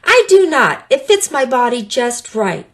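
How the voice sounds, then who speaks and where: A woman's voice: sits high, big pitch swings, measured pace, a bit bright, voice pushed up, exaggerated voice. A woman voicing a small, earnest male skink, shy but indignant, exclaiming and protesting in a storybook tale.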